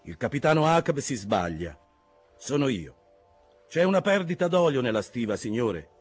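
A man voicing a dutiful, cautious first mate in an audiobook sea drama, husky, deferential and earnest, yet firm. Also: medium pitch, sing-song, normal speed, faint music behind. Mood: respectful, insistent.

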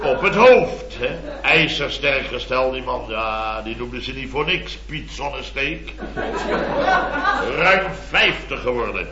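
An actor playing a blustery, boastful middle-aged building contractor in a radio comedy, rambling and exclamatory. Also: male voice, mid-range voice, animated, slow, vocal fry, a loud crowd. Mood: boastful relish. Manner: rambling, exclamatory, constant tag words. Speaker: comic lead actor. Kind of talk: 1970s radio comedy acting. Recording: dull old radio recording, roomy, audience laughter.

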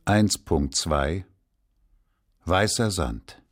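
A man announcing titles for a radio drama in a deliberate, formal, scripted manner. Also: sits low, expressive, soft background music.

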